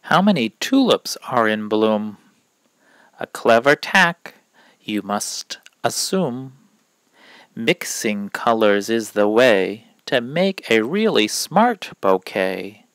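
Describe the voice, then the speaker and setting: A man reading rhyming verses aloud from a children's picture book in a deliberate, expressive way, pausing between phrases. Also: fairly low pitch, no echo. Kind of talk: children's story read-aloud of rhymes.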